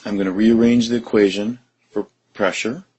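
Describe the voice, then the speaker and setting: A man teaching a chemistry lesson and working through gas law problems in a steady, methodical way. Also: fairly low pitch, moderate pace, slightly rough, poor audio. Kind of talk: recorded lesson explanation.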